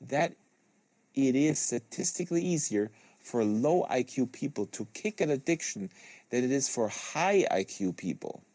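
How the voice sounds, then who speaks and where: Male audiobook-style reader, medium pitch, mellow, dark tone, deliberate delivery, dry studio sound. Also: no echo. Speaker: host reading a novel aloud. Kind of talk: audiobook-style reading of literary prose. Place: small studio with acoustic foam panels. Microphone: close studio microphone.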